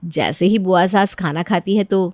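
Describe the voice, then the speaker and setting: A woman's voice: fairly low pitch, moderate pace, a little mellow, no echo, clean studio sound. A woman narrating a scripted moral story as a storyteller.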